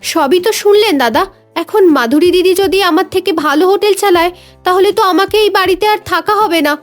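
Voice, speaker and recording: A woman's voice: very high-pitched, fast. A woman playing a jealous, scheming village wife plotting against her rival in a cartoon dub. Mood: anxious, pleading. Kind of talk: Bengali cartoon dubbing. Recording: music behind the voice.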